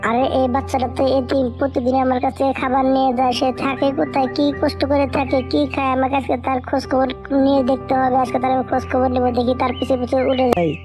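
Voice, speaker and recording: Woman as a fast-talking, concerned pigeon uncle. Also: high voice, flat delivery, voice pushed up, natural voice. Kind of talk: cartoon voice acting. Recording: music bed behind the voice.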